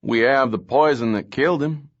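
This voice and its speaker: A cranky male police lieutenant, roused from sleep, grumbles and slurs his answers, as a man reading an audiobook puts him on. His voice rides higher and louder than the narration. The audio is a touch dull and lo-fi. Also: moderate pace, natural voice. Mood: grimly talkative. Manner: slurred, grumbling, exasperated.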